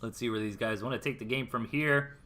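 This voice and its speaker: A man's voice: medium pitch, fairly quick, fairly bright tone. An American man casting a strategy game replay in a casual, slangy, explanatory way, with music behind him. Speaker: esports replay caster. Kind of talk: live game commentary, casual.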